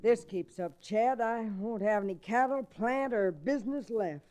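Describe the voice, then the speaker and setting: High pitched folksy rancher, plain talk, old time radio western acting. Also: male voice, measured pace, a little mellow, faint music behind. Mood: worried, fed up. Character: folksy, embattled cattle rancher and packer Lizzie.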